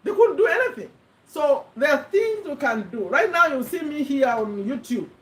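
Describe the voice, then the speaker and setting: A woman in her fifties, originally from Uganda, talks straight to her viewers with a fairly high voice. She rambles earnestly, doubling back to correct herself and repeating words as she thinks aloud. It sounds like an unscripted vlog. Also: sing-song, moderate pace. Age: 50s. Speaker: vlogger. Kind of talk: casual talk to camera. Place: USA.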